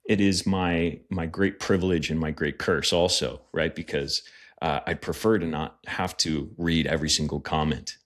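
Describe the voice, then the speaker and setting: A man's voice: rumbling low, steady tone, moderate pace. A man speaking as a podcast guest, earnest and reflective, with plenty of fillers as he thinks aloud. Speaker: guest, pastor and media producer. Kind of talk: casual podcast conversation.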